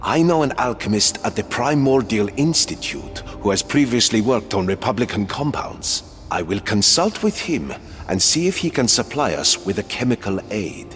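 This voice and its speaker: A man's voice: fairly low pitch, sing-song, moderate pace, fairly bright tone, in a large hall, faint music behind. An actor playing a polite, deferential male scholar advising a superior. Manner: courteous, deferential. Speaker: game character.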